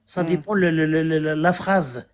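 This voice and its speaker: A man's voice: on the high side, fairly even intonation, moderate pace. A man in a casual debate who explains hesitantly, often repeating his words.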